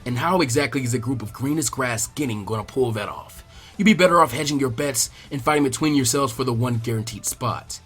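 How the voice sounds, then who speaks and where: Male reader as gruff anime ninja instructor, lowered pitch, smug and derisive. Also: brisk, a bit bright, soft background music.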